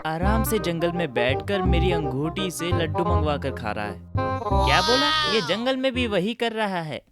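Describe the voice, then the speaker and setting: A man's voice: high voice, big pitch swings, moderate pace. A man playing a concerned, exasperated young hero in a children's cartoon story, speaking conversationally. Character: young male magical friend, concerned and exasperated. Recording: music behind the voice.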